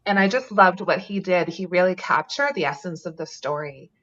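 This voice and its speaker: Woman, guest author on a call; muffled lo-fi line, relaxed thoughtful delivery. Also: on the low side, normal speed. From Canada. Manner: thoughtful, with frequent ums and light laughs. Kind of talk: conversational interview answers. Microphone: remote call.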